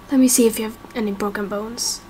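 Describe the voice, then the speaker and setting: A woman voicing a mild, exasperated father in a game roleplay, subdued and gently scolding. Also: on the high side, fairly even intonation, moderate pace, a little hiss or hum, voice pushed down, close to an everyday voice, rough recording. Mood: weary, caring. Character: mild, exasperated father fussing over his child. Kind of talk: amateur game roleplay acting.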